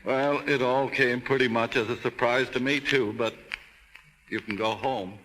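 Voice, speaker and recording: An actor playing a kindly, official American prison warden, measured and matter-of-fact, in 1930s radio drama style. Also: male voice, mid-range voice, fairly even intonation, a bit bright, lightly acted. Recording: noisy old 1930s radio broadcast.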